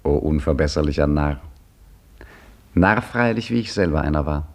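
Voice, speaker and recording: An older actor playing an old caretaker and storyteller, dry and ironic, in a 1950s radio drama. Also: male voice, a bass voice, expressive, moderate pace, a little mellow. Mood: weary wise. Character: old male caretaker, wry wise storyteller. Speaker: caretaker telling a tale.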